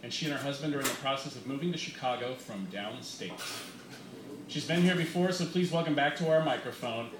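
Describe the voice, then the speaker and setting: A man's voice: medium pitch, fairly quick. A middle-aged man hosting a live event, giving a scripted introduction. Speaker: host. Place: small bar, indoors. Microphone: handheld microphone, filmed from the audience. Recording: echoey, noisy bar on handheld microphone.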